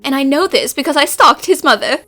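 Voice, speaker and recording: A woman voicing a confident, cheeky young female detective in an anime fan dub, brisk, smug and theatrical, with music underneath. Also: high voice, animated, bright, forceful tone. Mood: confident, accusing.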